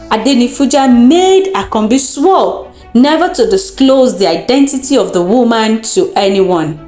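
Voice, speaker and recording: A Nigerian woman tells a folktale in a fairly high, very animated voice. She takes her time, shaping the narration with deliberate, dramatic expression. The sound is echoey, with music underneath. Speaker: storyteller. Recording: roomy echo with music underneath.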